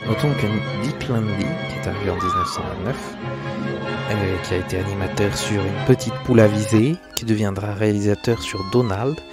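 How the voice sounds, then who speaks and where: A man's voice: on the low side, moderate pace. A man reading a scripted documentary narration, now and then stumbling slightly over the script. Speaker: host of an animation history video channel. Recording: dry, close, with background music.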